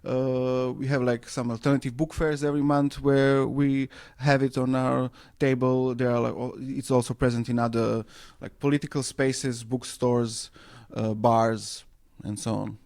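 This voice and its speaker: A man from Serbia, speaking English as a second language, answering radio interview questions with many pauses and fillers. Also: mid-range voice, flat delivery, a little mellow. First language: Serbo-Croatian. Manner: hesitant, many fillers and restarts. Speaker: interview guest, anarchist newspaper editor.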